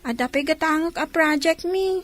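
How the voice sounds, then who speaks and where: Woman as very high-pitched Ilocano schoolgirl. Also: normal speed, lightly acted. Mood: dutiful. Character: dutiful young schoolgirl, the little sister. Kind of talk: Ilocano comedy radio drama acting.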